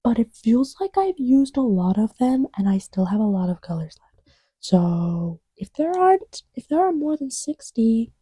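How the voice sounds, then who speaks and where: A woman playing a playful, distracted young girlfriend in an intimate ASMR roleplay, murmuring in a halting, teasing way. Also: on the high side, very animated, dark tone, slightly rough, no echo. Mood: absorbed, casual. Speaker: audio roleplay voice actor.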